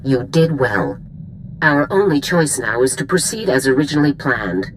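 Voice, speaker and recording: A woman's voice: extremely low pitch, very animated, moderate pace, slight background noise, poor audio. An actress playing a stern female military commander in a video game, with a formal, clipped briefing delivery. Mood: approving. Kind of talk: scripted video game cutscene acting.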